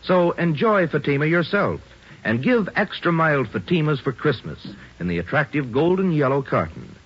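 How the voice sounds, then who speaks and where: A man's voice: a little high, big pitch swings, normal speed, husky. An American man reading a 1940s radio advertisement as a polished commercial announcer. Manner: polished salesman delivery. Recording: muffled old radio recording.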